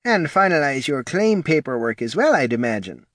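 A man's voice: a little high, very animated, normal speed, fairly bright tone, dry recording, studio quality, voice pushed up. A man voicing a haughty, sour old female mage counselor in a fantasy audiobook, with a stern, sardonic manner.

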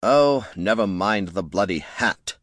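A man's voice: fairly low pitch, animated, measured pace, a bit bright, no room sound, clean studio sound. A man voicing a sinister necromancer with a cold, hollow voice in a fantasy story. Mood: impatient.

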